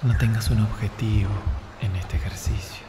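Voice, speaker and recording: A man leads a guided meditation in a calm, hushed, breathy way, in short phrases with long pauses. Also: on the low side, over loud music. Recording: echoey long reverb with clear noise.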